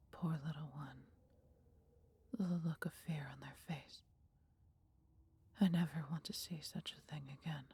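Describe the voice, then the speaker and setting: A woman voicing a mighty female dragon, proud yet caring, with breathy, husky tones and archaic, theatrical phrasing in a fantasy audio roleplay. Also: low-pitched, mellow, heavily acted. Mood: regretful, gentle.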